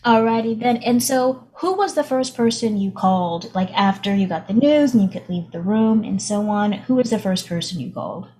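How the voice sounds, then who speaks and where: A woman's voice: mid-range voice, moderate pace, few pauses, dark tone, lightly acted, rough recording. A woman voicing an upbeat female presenter who interviews a new pop group in a tabletop game, emphatic and repeating her phrases. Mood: curious, chatty.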